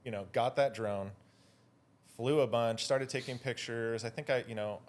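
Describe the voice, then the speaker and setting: A man's voice: mid-range voice, normal speed. A Californian man chatting casually as an interview guest, with a laid-back, slangy way of talking. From Hawaii and California, United States. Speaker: guest, drone photographer.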